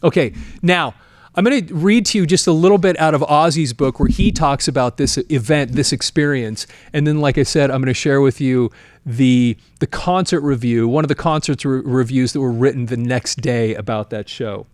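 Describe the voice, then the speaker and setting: A man's voice: medium pitch, normal speed, no echo. A man hosting a music history show, telling stories informally and reading quotes aloud in a chatty, often amused way.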